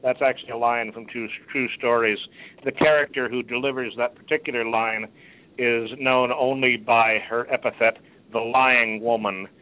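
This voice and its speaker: A middle-aged man hosting a radio chat show, rambling and halting through many restarts. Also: mid-range voice, steady tone. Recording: narrow phone-like line.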